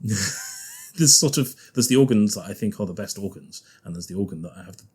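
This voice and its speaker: A man's voice: lowish voice, lively intonation, a little fast, darkish tone, breathy edge. A man with a Liverpool accent, a guest organist chatting casually on a podcast, often laughing and thinking aloud.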